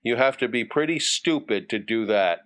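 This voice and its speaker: Man voicing a sly young storybook boy, clear. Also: mid-range voice, lively intonation, moderate pace, fairly bright tone, natural voice. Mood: sly, glum. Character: young boy, glum, slyly daring others. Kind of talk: storybook character voice.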